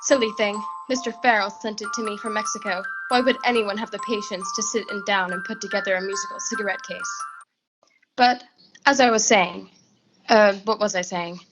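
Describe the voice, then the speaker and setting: A teenage girl playing a nervous, distracted woman executive in a 1940s suspense radio play, halting and repeating herself. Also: mid-range voice, steady tone, over music, close to an everyday voice, poor audio. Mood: flustered. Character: nervous, flustered woman executive, curt when pushed. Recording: faint noise and clicks, home recording.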